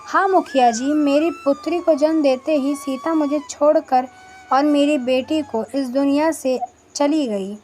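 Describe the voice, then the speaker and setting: A woman's voice: sits high, measured pace, darkish tone, slight background noise. A woman voicing a humble, trusting widowed male potter, deferential and earnest, in a moral story.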